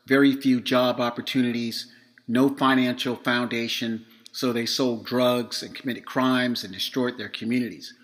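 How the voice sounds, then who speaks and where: A middle-aged man from Florida, slightly hoarse, hosting his podcast in a measured monologue read from notes with some fillers. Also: medium pitch, a bit bright. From Florida, USA. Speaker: podcast host.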